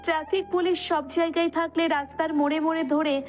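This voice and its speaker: A woman's voice: extremely high pitch, fairly even intonation, brisk, soft background music. A woman narrator reading a romance story as dramatic scripted narration.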